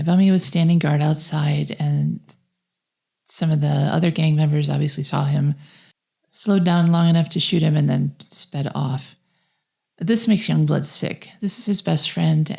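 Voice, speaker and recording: A woman talks through a film as a podcast co-host. Her voice sits very low for a woman, with a soft, mellow tone and plenty of vocal fry. The delivery is conversational. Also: steady tone, moderate pace. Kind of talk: conversational film plot recap.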